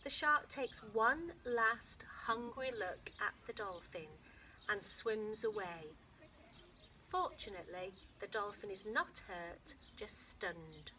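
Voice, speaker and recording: A woman teacher with a British accent reading a children's picture book aloud, with expressive storytelling that sometimes drops to a soft whisper and stops to explain words simply for young children. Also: mid-range voice, slow, a little hiss or hum. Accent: British English. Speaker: infant school teacher.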